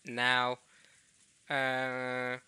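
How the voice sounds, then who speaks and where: Bright-voiced man, halting chat. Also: medium pitch, completely flat delivery, clear, resonant tone, no room sound. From Norway. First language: Norwegian. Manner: halting, restarts often, chuckling self-deprecation. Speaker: visual novel let's player. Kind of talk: casual chat to viewers.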